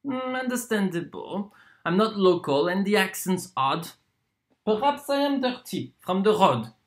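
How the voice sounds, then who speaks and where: An actor performs a proud itinerant torturer in a stage monologue. The delivery is unhurried and deliberate, full of weighted pauses. The mid-pitched voice swings widely up and down in a theatrical way. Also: lively intonation, natural voice. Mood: ingratiating, probing. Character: proud wandering torturer from Poland, wheedling then contemptuous.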